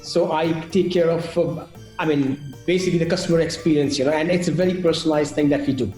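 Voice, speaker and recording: A man's voice: fairly high pitch, fairly even intonation, quick pace, darkish tone, echoey room, faint music behind, rough recording. A man who founded a travel company talks eagerly on a video call and fills his speech with "you know". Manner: enthusiastic, many fillers.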